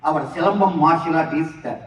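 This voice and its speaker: A man with a Tamil Indian English accent, a grandmaster giving a slow, halting formal speech to an audience. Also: on the high side, fairly bright tone. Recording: echoey hall, mic far off.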